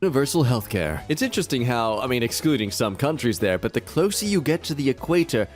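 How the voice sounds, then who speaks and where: Lively sarcastic male comment reader. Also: faint music behind. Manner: lively, sarcastic comment reading with quick jumps in pitch. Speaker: narrator reading online comments. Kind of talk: reading posts and replies aloud.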